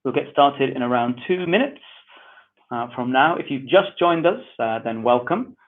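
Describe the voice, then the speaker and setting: A man from England with a Manchester accent, hosting an online webinar and calmly giving welcome and housekeeping instructions. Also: mid-range voice, normal speed. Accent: Mancunian English. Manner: friendly, repetitive, relaxed instructions. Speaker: webinar host. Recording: tinny phone-like online audio.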